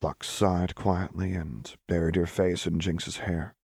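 Low, husky male audiobook narrator. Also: level tone, takes it slowly, darkish tone, no echo, clean studio sound. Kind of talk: scripted fiction narration.

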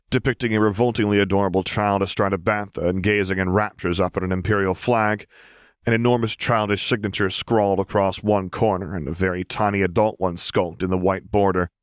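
A man's voice: low voice, no echo, clean studio sound. A man reading scripted fiction aloud as an audiobook narrator.

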